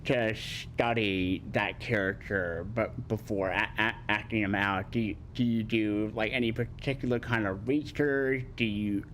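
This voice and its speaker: A man's voice: on the low side, steady tone, a little slow, few pauses, a bit bright, a little hiss or hum. A man interviewing a guest, asking questions in a stumbling way, often repeating and correcting words. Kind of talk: casual Q&A interview.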